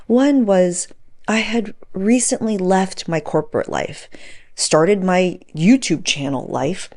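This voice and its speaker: A woman's voice: fairly low pitch, mellow. A woman of forty hosting a podcast, talking informally and emphatically to her audience as she tells personal stories. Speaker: podcast host. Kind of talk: conversational solo monologue to audience, storytelling.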